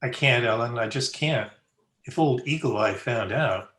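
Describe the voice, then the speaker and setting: A man playing a wisecracking, excitable young partygoer in old-time radio style. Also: medium pitch, unhurried, roomy sound, natural voice. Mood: sardonic. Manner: sarcastic, excitable.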